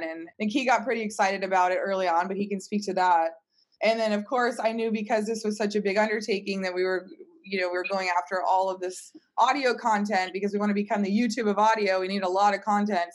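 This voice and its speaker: A woman speaking casually as a startup founder in an interview, telling stories and voicing her own past reactions. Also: medium pitch. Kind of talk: casual conversational storytelling.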